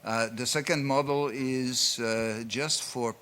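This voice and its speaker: A man's voice: medium pitch, fairly even intonation, takes it slowly, a bit bright, clear, resonant tone. A man presenting at a conference, answering audience questions in an explanatory way with frequent fillers. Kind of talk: conference Q&A talk.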